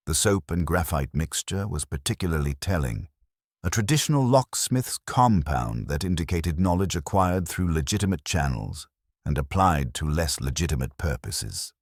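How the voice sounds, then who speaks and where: A man reading a scripted mystery story as narrator, in a measured, formal, literary manner. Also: low-pitched, husky, no room sound, clean studio sound.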